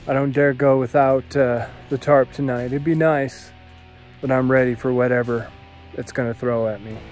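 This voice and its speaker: A man's voice: medium pitch, normal speed, faint music behind. A middle-aged man vlogging outdoors at a forest camp by a mountain lake, talking easygoing into a phone held at arm's length. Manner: easygoing, growing excited in the storm. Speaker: solo backpacking vlogger. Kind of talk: outdoor vlog to camera.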